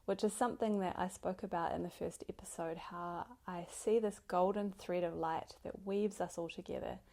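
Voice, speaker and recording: Woman, podcast host, hoarse gravelly voice, heavy vocal fry, casual introduction, effusive and appreciative. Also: medium pitch, a little mellow. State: recovering from nearly losing voice.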